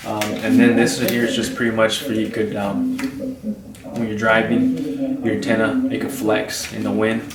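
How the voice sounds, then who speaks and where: A man hosting a DIY video in casual, off-the-cuff speech, haltingly repeating and restarting phrases as he explains. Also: on the low side. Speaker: DIY vlog host. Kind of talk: casual off-the-cuff how-to talk. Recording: roomy, distant mic, clear hum noise.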